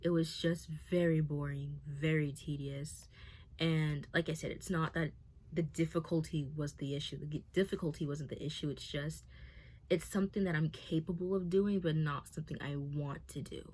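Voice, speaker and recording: A woman's voice: low voice, steady tone, moderate pace, darkish tone. A woman around twenty talking candidly to her camera, nervous and rambling, with many fillers and restarts. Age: 20s. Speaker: vlogger.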